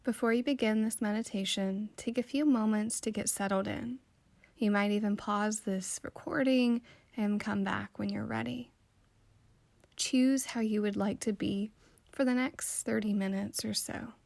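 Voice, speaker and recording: A woman guiding a meditation in a calm, soothing, gently instructive way, with soft phrases that trail toward a whisper. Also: mid-range voice, measured pace, vocal fry, no echo. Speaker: meditation guide. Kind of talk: slow guided meditation narration.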